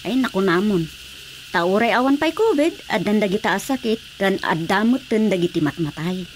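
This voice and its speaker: A woman's voice: medium pitch, expressive, moderate pace, lightly acted. A woman with an Ilocano accent playing an exasperated, grumbling village wife in a radio drama comedy. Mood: worried, grumbling. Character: exasperated wife of a stubborn, ailing village councilor. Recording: faintly noisy radio drama track.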